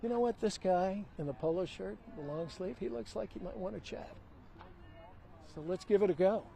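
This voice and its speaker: A man's voice: sits high, normal speed, creaky, mellow. A man who is a TV news anchor doing live street interviews outdoors in a city park, chatting and bantering easily with passers-by. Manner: chatty, jokey banter with strangers.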